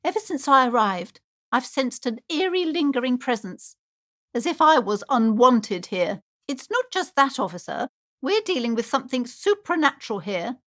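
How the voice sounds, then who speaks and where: An actress playing an anxious, superstitious shopkeeper in a video game, speaking in a theatrical, formal and ominous way. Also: female voice, high voice, very animated, normal speed, fairly bright tone. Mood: ominous, earnest. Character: anxious, superstitious shopkeeper woman, dramatic and doom-laden. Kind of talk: video game character dialogue.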